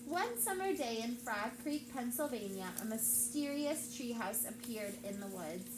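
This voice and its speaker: A woman's voice: a little high, lively intonation, measured pace. A woman teacher reading a chapter book aloud to her class, stopping often to ask the children questions. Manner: engaging, asks the class questions. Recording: roomy with background noise.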